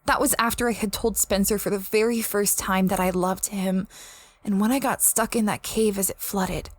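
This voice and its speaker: A woman's voice: mid-range voice, steady tone, normal speed, dry recording, natural voice. A woman playing a space explorer who recounts a strange expedition in a conversational, first-person audio drama style. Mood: wounded, intense. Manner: reflective, conversational storytelling.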